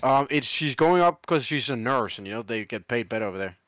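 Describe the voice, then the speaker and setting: A man's voice: medium pitch, lively intonation, a little fast, a bit bright, no room sound, studio quality. Young man joking over game voice chat. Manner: joking banter, restarts and trails off. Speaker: streamer and arena teammate. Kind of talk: casual gaming chat.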